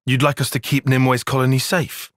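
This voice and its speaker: An actor playing a guarded, analytical young soldier-tactician in a video game, with formal, bookish phrasing and a dry, prickly edge. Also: male voice, medium pitch, little pitch movement, brisk, no echo, close to an everyday voice. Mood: analytical, measured. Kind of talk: video game cutscene acting.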